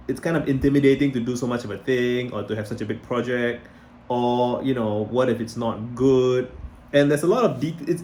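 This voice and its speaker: A man's voice: medium pitch, a little mellow. A man with a Singaporean accent, in his thirties, talking casually and rambling to camera, often restarting his sentences. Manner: rambling, self-correcting, conversational. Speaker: video blogger. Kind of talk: casual talking-head vlog.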